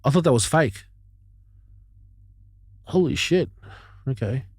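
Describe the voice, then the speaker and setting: A man's voice: mid-range voice, expressive, slow pace, breathy edge, no echo, studio quality. A man co-hosting a podcast, bantering bluntly and irreverently with plenty of swearing. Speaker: podcast co-host. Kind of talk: casual banter, heavy swearing. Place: vintage caravan turned studio.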